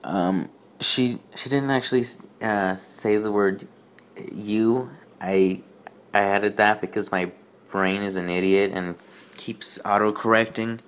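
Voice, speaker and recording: A man's voice: lowish voice, fairly even intonation, slow pace, clean tone. A man hosting a reading show with sarcastic, rambling commentary in an exasperated, self-deprecating manner. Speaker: host of a bad fan fiction reading show.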